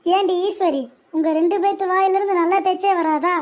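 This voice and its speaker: Woman voicing a very high-pitched, blunt cartoon grandfather. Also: steady tone, normal speed. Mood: chiding. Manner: blunt, chiding. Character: scolding old grandfather, protective of his daughter-in-law. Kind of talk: cartoon parody dialogue.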